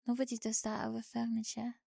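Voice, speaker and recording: Young French exchange student, played by a woman, French accent, higher register, forthright and wry, formal wording. Dry-recorded ASMR drama. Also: monotone, fast talker, vocal fry, dark tone, husky, dry recording, full character voice. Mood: calm, perceptive. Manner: blunt, precise, formal phrasing. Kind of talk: ASMR roleplay audio drama.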